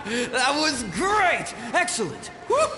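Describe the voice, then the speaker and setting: Echoey ghost swordsman for a game, kinda high for a man, really drawn out, loves a fight. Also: very animated, slow, heavily acted. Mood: elated, satisfied. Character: exhilarated combative male swordsman spirit. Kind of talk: video game voice acting. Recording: echoing, with faint ambient noise.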